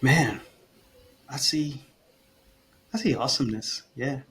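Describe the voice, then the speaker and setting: Man, mid-pitched, earnest, repeats himself while thinking, faint hiss behind. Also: unhurried, fairly bright tone. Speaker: guest, communication coach for parents. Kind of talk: casual podcast conversation.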